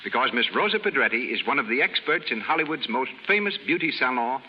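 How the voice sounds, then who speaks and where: Animated radio announcer, muffled vintage sound. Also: male voice, medium pitch, fast. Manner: formal, polished salesmanship. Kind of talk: scripted 1930s advertising interview. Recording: muffled 1930s radio broadcast, faint hiss.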